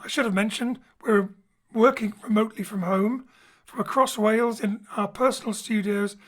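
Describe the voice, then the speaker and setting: A man's voice: sits high, fairly bright tone. A man speaking as host and director, giving an informal, explanatory introduction from a home studio. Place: home studio, remote link.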